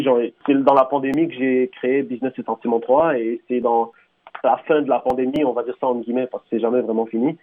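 Fast-talking rapper over a phone line. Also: male voice, medium pitch. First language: Tunisian Arabic. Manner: rambling, full of restarts and fillers. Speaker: rapper, interview guest. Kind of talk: radio interview answers.

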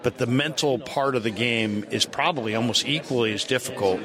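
Male American sports reporter, conversational interviewer, mid-range voice, recorded amid clear locker-room chatter. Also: medium pitch, fairly quick. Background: crowd chatter.

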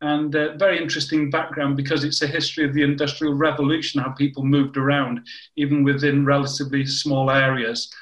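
Older northern English man, interview guest, rambling anecdotal delivery, slightly high voice, echoey room. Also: level tone, a little fast, a bit bright. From north of England. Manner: anecdotal, self-correcting with frequent restarts. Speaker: interviewee, former scientist. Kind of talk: conversational interview.

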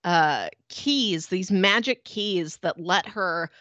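A woman co-hosting a podcast in casual chat, explaining things in a measured way. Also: fairly low pitch, fairly bright tone, no room sound, lo-fi sound. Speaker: podcast co-host.

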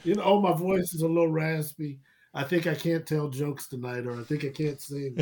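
Animated rambling man. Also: fairly high pitch, fairly quick. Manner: animated, rambling, laughs often. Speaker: podcast guest. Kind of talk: casual sports chat.

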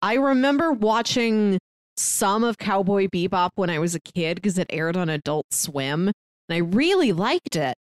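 A woman's voice: mid-range voice, expressive, unhurried, dry recording. Woman podcast cohost just chatting, bouncy intonation, dry close sound. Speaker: podcast co-host. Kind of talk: casual chat.

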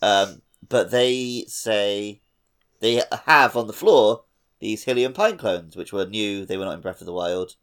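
A man co-hosting a casual gaming podcast in a somewhat low voice. He explains things step by step in an easygoing way, with relaxed fillers. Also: unhurried, clean tone. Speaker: podcast co-host.

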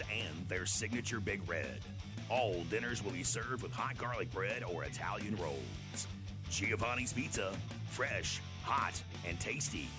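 A man with a lowish voice speaks over clear guitar music. He is an announcer giving a scripted restaurant ad read. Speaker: radio commercial announcer.

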